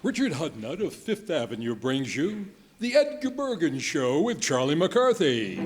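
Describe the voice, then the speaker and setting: A man's voice: fairly high pitch, big pitch swings, unhurried. A man announcing a show in the style of 1940s radio.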